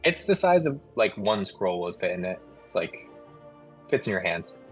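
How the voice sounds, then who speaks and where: A man narrating a tabletop game casually as game master, often pausing to search for his words. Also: sits low, very animated. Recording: faint music underneath. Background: faint music.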